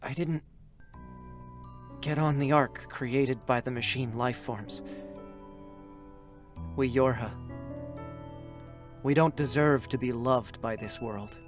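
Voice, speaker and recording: Young male android soldier played by a man, very slow, halting, wistful and gentle, prominent music behind. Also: medium pitch, lightly acted. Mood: wistful, resigned. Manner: halting, heartfelt. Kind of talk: dramatic monologue.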